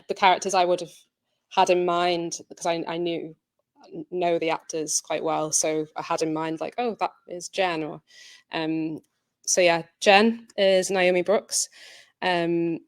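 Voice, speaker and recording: A woman's voice: on the low side, dry recording. A woman giving an informal behind-the-scenes talk as a drama director, in a hesitant, conversational way that often rephrases. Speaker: director and writer of an audio drama, presenter.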